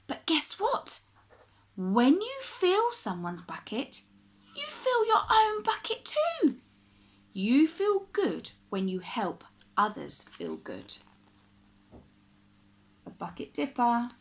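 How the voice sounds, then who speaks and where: A woman with a fairly high voice reads a picture book aloud for young children. Her pace is unhurried and her pitch swings widely from phrase to phrase. She phrases deliberately and expressively, in the manner of a primary school teacher.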